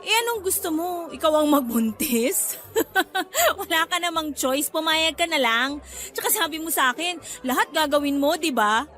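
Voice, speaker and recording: Very high-voiced cheeky pregnant woman. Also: animated, moderate pace, a bit bright. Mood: pushy, teasing. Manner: breezy, cheeky, giggly delivery. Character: flippant, manipulative pregnant woman, teasing her lover. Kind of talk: radio drama acting.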